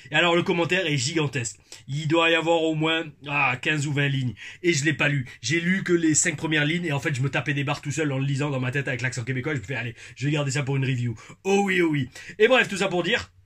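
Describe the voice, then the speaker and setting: A man in his forties hosts a casual video review, talking in an exuberant, rambling way full of repeated catchphrases. His voice is bright and edged, a little husky, and sits somewhat high for a man. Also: quick pace. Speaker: wrestling review host.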